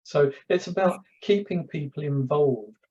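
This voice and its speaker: A man's voice: a little high, unhurried, mellow, lo-fi sound. A man from London with a London accent, a public speaking coach chatting as a guest and telling anecdotes, often repeating words as he starts. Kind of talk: casual interview chat.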